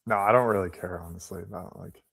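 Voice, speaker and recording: A man's voice: deep voice, fairly even intonation, fast talker, creaky, fairly bright tone. A man in his late twenties co-hosting a live trading stream, patiently walking through chart settings and restarting his phrases. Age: late 20s. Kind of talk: casual live-stream explaining.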